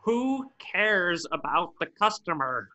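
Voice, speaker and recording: A man's voice: high-pitched, sing-song, slow pace, sharp tone, heavily acted. A man playing a grumpy, exasperated cartoon squid cashier in a table reading, irritable and sarcastic. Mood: angry.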